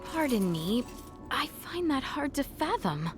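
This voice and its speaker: An actress playing a poised, inquisitive young noblewoman in a fantasy game, speaking in formal, measured phrases. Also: high-pitched, very animated, over music. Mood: puzzled, composed. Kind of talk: video game character acting.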